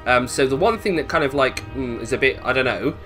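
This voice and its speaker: A man's voice: mid-range voice, animated, a little fast, a bit bright, soft background music. A man giving casual, chatty gameplay commentary, thinking aloud and questioning himself as he goes. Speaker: gamer narrating a let's play.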